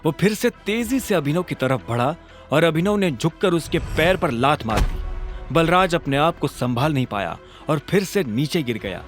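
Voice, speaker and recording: A man's voice: fairly high pitch, animated, moderate pace, faint music behind. A man narrating a scripted audio drama story in a dramatic style.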